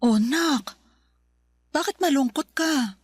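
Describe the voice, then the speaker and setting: A woman's voice: high-pitched, slow pace. An actress playing a caring, nosy middle-aged mother with a probing, colloquial way of talking in a Tagalog radio drama. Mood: curious.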